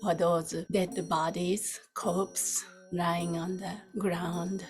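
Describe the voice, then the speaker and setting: Female meditation teacher, lowish breathy voice, very slow and soft with long pauses. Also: little pitch movement, over music. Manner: soft, unhurried, with long pauses between short phrases. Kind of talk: guided meditation.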